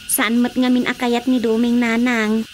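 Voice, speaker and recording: A woman's voice: fairly high pitch, level tone, normal speed, soft background music, natural voice. A woman playing a blunt, teasing, childless village wife in an Ilocano radio drama comedy, with an Ilocano accent. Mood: plaintive.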